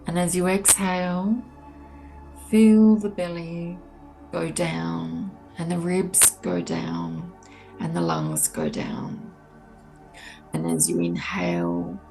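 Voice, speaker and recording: A woman leading a guided meditation, speaking gently and in a measured way with long pauses between soothing instructions. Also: on the low side, gravelly, low-quality recording. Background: soft ambient meditation music.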